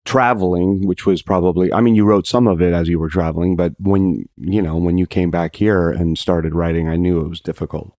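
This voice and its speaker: Male guest, lowish voice, modest and hesitant, thinking aloud in relaxed conversational podcast style. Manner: hesitant, self-effacing, halting. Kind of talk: casual podcast chat.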